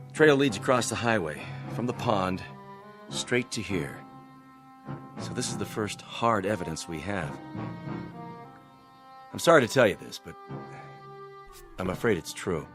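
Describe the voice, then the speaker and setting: An actor playing a level-headed, earnest American police officer in a radio drama, measured and reasonable. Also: male voice, on the low side. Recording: music bed under the dialogue.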